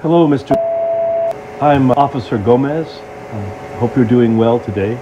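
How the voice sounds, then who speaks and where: A polite male police officer played by an actor conducts a careful, halting interview in a found-footage horror style. His pitch is mid-range. The recording is muffled, with clear droning noise behind the questions. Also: close to an everyday voice. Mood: polite, measured. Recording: muffled tape with loud droning hiss.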